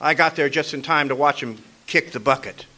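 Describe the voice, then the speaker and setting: An actor playing a sardonic, hardboiled private eye narrating a radio detective parody. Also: male voice, on the high side, fairly quick, very noisy, natural voice. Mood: dry narrating.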